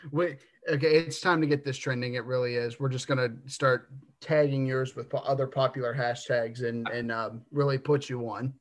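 A man in his twenties co-hosting a sports podcast, giving takes with dry humor and thinking out loud. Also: medium pitch, fairly even intonation, moderate pace. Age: 20s. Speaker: podcast co-host. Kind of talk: casual sports podcast chat.

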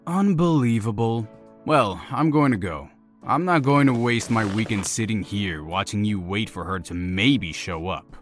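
A man's voice: on the low side, animated, a little slow, faint music behind. An actor playing a cocky, flippant young womanizer in an audio drama, teasing and sarcastic. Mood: exasperated. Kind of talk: naturalistic audio drama acting.

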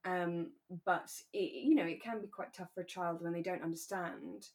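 A woman co-hosting a relaxed conversational podcast, talking in a candid, reflective and careful way. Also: fairly low pitch, normal speed, creaky. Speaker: podcast co-host.

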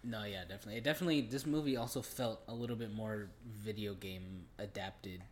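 A man's voice: on the low side, brisk. A young man co-hosting a podcast, talking casually about films in informal, slangy language. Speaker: podcast co-host. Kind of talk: casual movie chat.

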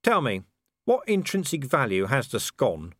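A man's voice: mid-range voice, huge pitch swings, unhurried, fairly bright tone, no room sound, studio quality, voice pushed up, full character voice. A man voicing a weary, sceptical policeman in an audiobook, blunt and wry as he questions. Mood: questioning, patient. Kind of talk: audiobook character acting.

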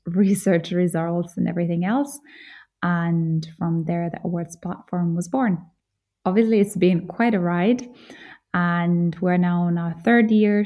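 Woman from finland, earnest podcast host talking thru her story, mellow and lowish. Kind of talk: solo podcast monologue.

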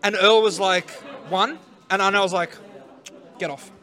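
A man's voice: high-pitched, steady tone, moderate pace, bright, forceful tone, a faint crowd. A man co-hosting a live podcast, telling gaming stories with swearing and laughter. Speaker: podcast co-host. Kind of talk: casual banter. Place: live event venue.

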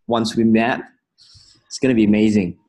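A man in his twenties from Indonesia, an interview guest speaking earnest, halting English. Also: lowish voice, little pitch movement, a little mellow. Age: 20s. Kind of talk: casual interview.

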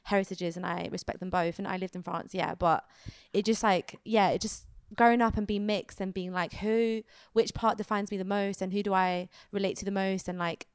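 A woman's voice: medium pitch, little pitch movement, moderate pace, clear, resonant tone, no echo. A woman chatting casually and frankly in a very informal way. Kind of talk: candid casual conversation.

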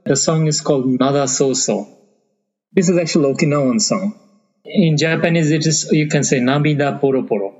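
A man from Bangladesh whose first language is Bengali, speaking thoughtfully in an interview and pausing often to find his words. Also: fairly high pitch, a little mellow. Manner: thoughtful, searching for words with frequent fillers. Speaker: interview guest. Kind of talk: conversational interview. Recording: long reverb, muffled lo-fi sound.

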